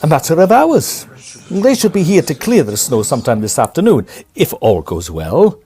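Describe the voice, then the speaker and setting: A man's voice: on the high side, sing-song, normal speed, warm tone, slightly rough. A man playing a talkative, reassuring and polite Victorian train conductor in a comic audio drama. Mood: cheerful reassurance. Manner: long-winded, polite. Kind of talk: period farce audio drama.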